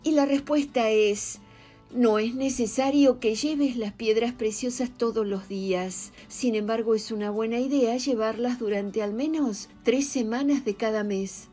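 A woman reading a scripted voice-over as a channel narrator, giving advice in a calm, even manner. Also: on the high side, unhurried. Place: voice-over over stock footage. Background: soft background music.

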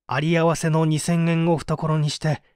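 An actor playing a poor, contrite painter making an earnest, theatrical confession in a dramatic audio mystery. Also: male voice, on the high side, level tone, moderate pace, darkish tone, clean tone, no echo, clean studio sound, natural voice. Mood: remorseful, wistful. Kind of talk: dramatic Japanese audio reading of a mystery story.

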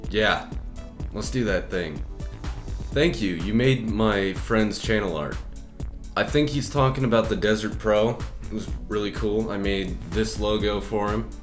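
A young man answering viewer comments in a relaxed, rambling vlog chat, with frequent fillers and restarts, filmed on a webcam at his desk. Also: lowish voice, measured pace, echoey room, over music. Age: young adult. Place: bedroom with shelves, indoors.

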